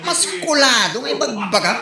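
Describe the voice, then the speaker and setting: A woman playing a loud, mocking, gossipy neighbour in an Ilocano comedy audio drama, taunting with glee. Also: high voice, very animated, moderate pace, a crowd in the background. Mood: gleeful mocking. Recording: echoey room.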